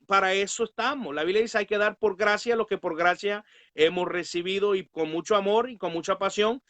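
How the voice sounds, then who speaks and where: A man's voice: high voice, fairly bright tone, no echo. A man, a pastor and Bible teacher, speaking earnestly and piously in a religious talk, often punctuating it with amens. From Guatemala.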